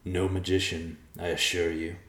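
A man as a deep-voiced, stoic magician messenger. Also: little pitch movement, moderate pace, fairly bright tone, reverberant. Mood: curt, guarded. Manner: terse, formal. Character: stoic, curt male magician messenger, quietly dangerous.

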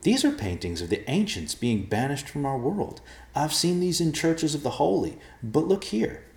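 Fantasy storybook sidekick: male narrator as curious earnest youth, lively raised pitch, roomy sound. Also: moderate pace. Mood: intrigued.

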